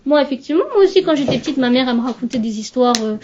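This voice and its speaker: A young woman with a high voice, whose first language is Urdu, speaks in a halting, reflective way and restarts her phrases as she looks for words. The recording is slightly muffled, with a faint hiss behind her. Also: lively intonation, darkish tone. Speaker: interviewee, Urdu teacher. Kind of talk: reflective interview talk.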